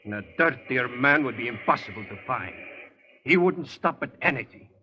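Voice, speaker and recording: An actor playing a plain-spoken, suspicious old-timer tunnel worker in a 1930s radio drama. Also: male voice, medium pitch, expressive, normal speed, sharp tone. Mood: suspicious, insinuating. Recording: muffled lo-fi 1930s radio broadcast.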